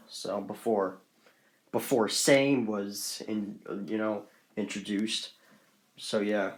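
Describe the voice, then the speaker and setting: A man presenting his hobby collection in a casual, unscripted vlog, talking in a rambling, repetitive and enthusiastic way. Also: on the low side.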